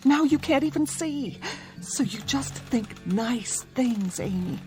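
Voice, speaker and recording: A woman's voice: on the high side, expressive, slow, darkish tone, faint music behind. A woman playing an anxious farm mother in a radio drama, speaking carefully and with forced reassurance. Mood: anxious, soothing. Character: anxious farm mother forcing cheerful calm.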